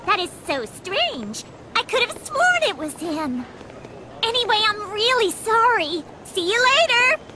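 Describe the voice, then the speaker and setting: A woman voicing an excitable, sweet young hedgehog girl in a video game, with a gushing, girlish manner. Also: extremely high pitch, a little slow, heavy background noise, heavily acted. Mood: flustered, apologetic. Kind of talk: video game cutscene acting.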